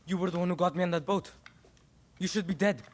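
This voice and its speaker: A man's voice: high-pitched, quick pace, darkish tone, lightly acted. An actor playing an anxious, defiant teenage boy, a dictator's son in a video game, earnest and urgent. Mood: wary.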